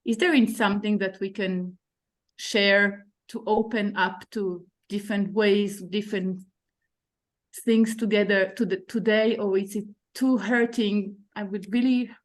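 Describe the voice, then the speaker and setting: A woman with an Israeli accent, Hebrew her first language, speaks in English on a video call. She is sincere and hesitant, pausing and restarting as she searches for the right words. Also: medium pitch, steady tone. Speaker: caller asking a question. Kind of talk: audience question on an online panel.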